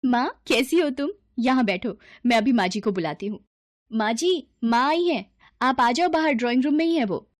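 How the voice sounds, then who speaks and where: A woman voicing a gentle young daughter-in-law in a moral story, polite and earnest in manner. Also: on the high side, normal speed, no echo, pitched above the performer's own voice, close to an everyday voice. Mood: anxious, eager.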